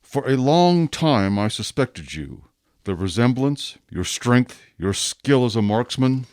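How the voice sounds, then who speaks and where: An actor playing a rigid, dutiful police inspector in a radio drama, speaking formally and deliberately with stiff deference. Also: male voice, medium pitch, big pitch swings, a little mellow, no room sound, clean studio sound. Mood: grave confession. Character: rigid, dutiful male police inspector, self-accusing and obsessive.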